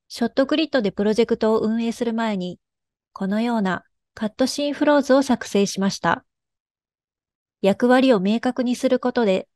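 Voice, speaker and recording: A woman presenting in a formal, measured, explanatory way, reading a scripted technical narration. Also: fairly high pitch, a little mellow, no room sound, studio quality.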